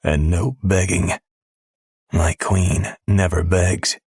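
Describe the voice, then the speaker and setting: A deep, husky male lover, put on by a woman narrator, speaking in a slow, low rasp. He is possessive and commanding, murmuring his orders unhurriedly. The sound is dry and close. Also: fairly even intonation, darkish tone, no room sound, heavily acted. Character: rough possessive adult man, lover.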